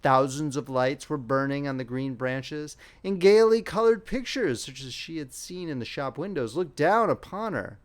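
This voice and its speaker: Casting: man for dramatic fairy tale narration, highly varied pitch, slightly high register, expressive. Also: moderate pace, few pauses. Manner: expressive, theatrical storytelling. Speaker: audiobook narrator.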